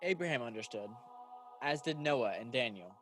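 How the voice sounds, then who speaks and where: A man playing a calm, authoritative messenger angel in a radio play, speaking in a solemn, measured way. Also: medium pitch, soft background music, lightly acted. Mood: calm, steadfast.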